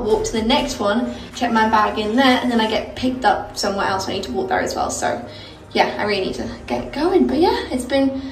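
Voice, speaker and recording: Young woman in her twenties, travel vlogger, fairly high voice, chatty and tired, phone selfie sound, light music underneath. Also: rough recording. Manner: chatty and excitable, lots of "like" and "literally". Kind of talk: casual travel vlog to camera. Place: hotel bathroom, then train and outdoor rainforest spots. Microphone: phone camera at arm's length.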